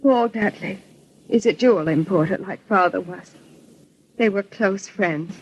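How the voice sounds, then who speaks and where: A woman's voice: mid-range voice, measured pace, a little hiss or hum, close to an everyday voice. An actress playing an earnest, grieving young woman in a 1940s radio mystery, with careful dramatic phrasing. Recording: dull vintage 1940s radio recording.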